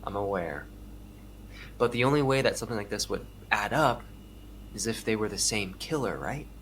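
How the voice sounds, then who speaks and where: A man's voice: fairly low pitch, animated, moderate pace, a bit bright, slight background noise. An actor playing a probing police detective who questions witnesses and narrates his case. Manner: probing, matter-of-fact. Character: dogged male police detective, weary and probing. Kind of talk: audio drama acting with narration.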